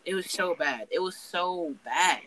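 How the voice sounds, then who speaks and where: A man's voice: sits high, unhurried. Young man, profane rambling podcast talk. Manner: profane, rambling, animated storytelling. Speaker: podcast co-host. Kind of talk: casual podcast chat.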